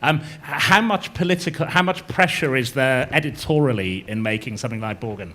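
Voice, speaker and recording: A man's voice: mid-range voice, a little fast, sharp tone. A man moderating an on-stage panel, putting questions with stammers, asides and joking apologies. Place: on stage before an audience.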